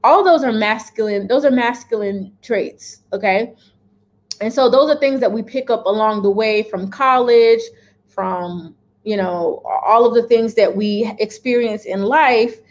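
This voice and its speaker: A woman's voice: fairly high pitch, measured pace. Direct, emphatic woman vlogging from her kitchen. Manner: direct, emphatic, checks in with "okay?". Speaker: lifestyle vlogger. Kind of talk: casual opinion chat to camera. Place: home kitchen, indoors.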